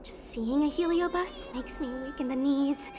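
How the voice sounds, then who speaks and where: A woman voicing a loyal, easily scared but well-meaning young girl in a video game, expressive and earnest. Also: sits high, moderate pace, music in the background, lightly acted. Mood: nervous, curious.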